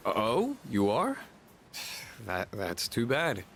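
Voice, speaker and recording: Proud awkward high school senior, lowish voice, lively intonation, video game dialogue. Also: male voice, very slow, creaky, faint music behind, heavily acted. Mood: nervous, halting. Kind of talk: English video game voice acting.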